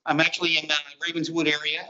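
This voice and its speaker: A middle-aged man speaks informally to a group in a very bright, forceful voice pitched a bit high. He is chatty and rambling, with folksy turns. The recording sounds dull and muffled. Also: monotone, racing. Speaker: meetup co-host and presenter.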